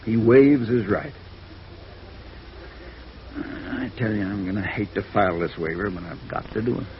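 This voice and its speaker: A man's voice: on the low side, measured pace, lightly acted. An old, dull and hissy radio recording of a worn-out defense lawyer, acted by a man. He speaks earnestly and with emphasis, a troubled man with a conscience, in 1950s radio drama style. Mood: regretful, reflective. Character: weary, troubled male defense attorney with a conscience.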